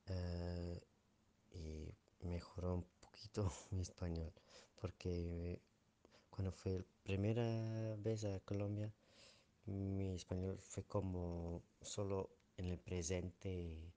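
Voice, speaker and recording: Low-voiced hesitant Belgian Dutch man. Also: mellow. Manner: hesitant, many fillers and self-corrections. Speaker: interviewee, Spanish learner. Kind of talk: casual interview answers.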